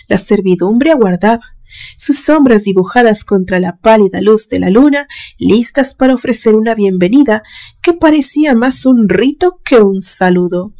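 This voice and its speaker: A woman's voice: medium pitch, dark tone. A woman narrating a scripted suspense story in a solemn, dramatic storytelling manner. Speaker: narrator, literary channel host.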